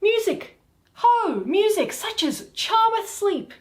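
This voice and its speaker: A graceful fairy queen, played by a woman, speaking Shakespearean verse. The voice is high and swoops expressively, full of dreamy wonder. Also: big pitch swings, takes it slowly, exaggerated voice. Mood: imperious.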